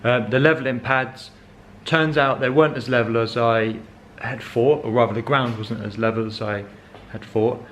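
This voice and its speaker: A man's voice: mid-range voice, fairly even intonation, moderate pace, hardly any pauses, slight background noise. A young man chatting casually in a car vlog, rambling with many ums and correcting himself as he goes. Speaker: car vlogger.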